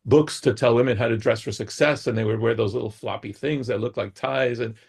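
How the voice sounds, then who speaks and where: A middle-aged man with a gravelly, husky voice talks through ideas as a guest on a talk show. He keeps checking in with his listener by adding "right?" after his points. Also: mid-range voice, steady tone, fairly quick, a little mellow. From United States. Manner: explains with frequent "right?" check-ins. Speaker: guest expert. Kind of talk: explanatory talk-show conversation.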